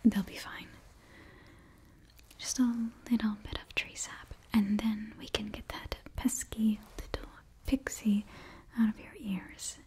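A young woman performing a whispered ASMR roleplay, speaking softly and coaxingly right up close to the microphone. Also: medium pitch, little pitch movement, slow, breathy edge. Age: young adult. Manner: soft, coaxing, close-up whisper with murmured repetitions. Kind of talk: whispered ASMR roleplay, in character as a sorcery student.

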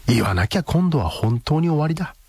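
An actor playing a ruthless, sardonic man in a radio drama, deadpan and commanding. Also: a little high, fairly quick, husky, heavily acted. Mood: coolly threatening. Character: cool, ruthless, sardonic man blackmailing a villain.

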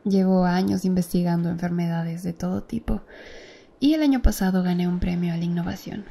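Lady doing a calm doctor, slow soft asmr talk, a bit gravelly and dark. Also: on the low side. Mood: gentle. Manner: soft, close-up ASMR speech, gently addressing the listener. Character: calm, attentive female doctor, a bit boastful. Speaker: doctor in a roleplay. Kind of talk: ASMR roleplay.

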